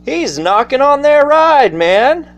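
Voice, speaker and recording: A man's voice: very high voice, sing-song, slow pace. A man telling personal stories in a casual monologue, addressing his listeners directly in a theatrical, folksy manner. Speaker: storyteller. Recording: faint background noise.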